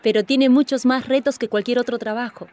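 An actress playing a proud, witty mother who narrates her own anecdote in a measured, expressive radio play style. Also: on the high side, close to an everyday voice. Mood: proudly professional. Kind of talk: radio drama storytelling.

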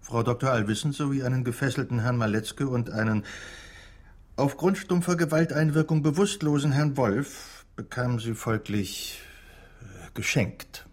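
A man's voice: medium pitch, normal speed, darkish tone, close to an everyday voice. An actor playing a dry, sardonic male defence lawyer in a radio crime drama. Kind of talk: German radio drama, narrating and dialogue.

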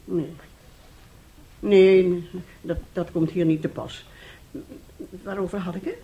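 An actress in a Dutch radio play playing a chatty, sentimental older aunt who rambles and exclaims. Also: female voice, fairly low pitch, fairly even intonation, slow, dark tone, low-quality recording. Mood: wistful, flustered. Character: chatty, sentimental aunt reminiscing about old romance. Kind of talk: Dutch radio drama acting.

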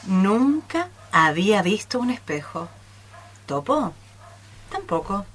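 A woman's voice: mid-range voice, sing-song, takes it slowly, fairly bright tone, heavily acted. A woman narrating a children's radio play in a storytelling style.

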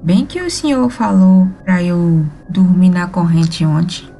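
A woman's voice: on the low side, animated, a little slow, vocal fry, dark tone, faint music behind. A woman with a Brazilian accent playing a wounded young werewolf girl in an audio drama. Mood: weary gratitude.

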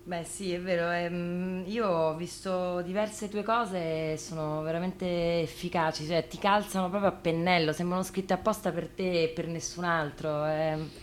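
Female interviewer, lowish voice, informal and a little unsure, faint noise behind her. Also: steady tone, unhurried, few pauses. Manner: hesitant, self-conscious. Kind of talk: casual, informal interview questions. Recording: noticeable background noise.

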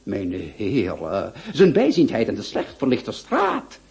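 An actor with a Flemish accent playing a pompous, eloquent marquis in a radio play, speaking in florid oratory. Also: male voice, lowish voice, wildly swooping pitch, slow, creaky, darkish tone, full character voice. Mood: emphatic, oratorical. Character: pompous, eloquent aristocratic marquis, duelling second. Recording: dull lo-fi radio recording.

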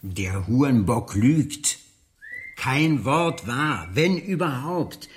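An actor playing a cocky, sceptical know-it-all detective in a radio drama, crude and sneering. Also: male voice, mid-range voice, expressive, extremely slow. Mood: dry, sceptical. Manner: sneering, emphatic.

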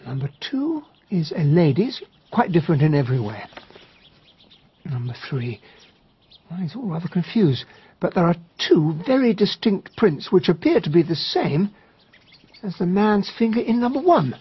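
An earnest, slightly naive British gentleman, narrating and acting in a radio drama. His voice is very husky and animated, with polite hesitations. The recording is a little muffled. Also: high-pitched, moderate pace, heavily acted. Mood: earnest, uncertain. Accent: British English.

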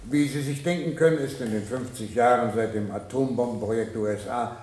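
A formal, authoritative man, played by an actor, as a bureaucratic project director in a 1970s radio play. He lectures in measured, smoothly evasive phrases, with a roomy echo around his voice. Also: mid-range voice, a little mellow, a little hiss or hum, lightly acted. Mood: firm, justifying. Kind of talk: 1970s German radio drama acting, formal address.

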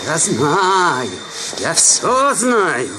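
A man voicing a surly, suspicious village sexton in an audio story, gravelly, slow and grudging, with music behind. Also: fairly high pitch, sing-song, noisy background, voice pushed up, heavily acted. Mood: brooding.

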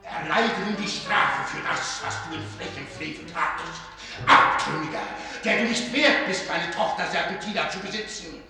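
An actor playing an imperious, mocking old archivist and sorcerer in a radio play, theatrical and declamatory. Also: male voice, fairly high pitch, normal speed, hardly any pauses, bright, forceful tone, reverberant, faint music behind. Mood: wrathful. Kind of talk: German radio play acting.

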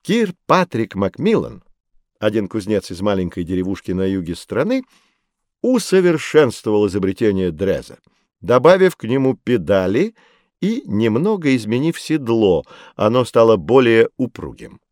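A patient teacher type explaining stuff to kids, really expressive storytelling, dry studio-close sound. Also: male voice, lowish voice, moderate pace, no echo. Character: patient, knowledgeable male teacher and guide. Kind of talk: scripted children's educational audio drama.

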